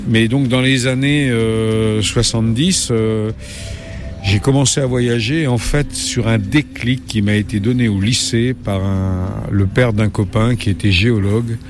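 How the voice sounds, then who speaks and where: A man in his late sixties, a travel reporter, answering interview questions in a relaxed way, pausing often with fillers and restarting his sentences. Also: lowish voice, slight background noise. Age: 60s. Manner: hesitant, many fillers and restarts.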